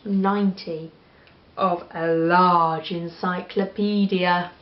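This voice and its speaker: A woman with an English accent reading a children's story aloud in an expressive style. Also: lowish voice, takes it slowly. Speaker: storybook reader. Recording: echoey room, slightly dull sound.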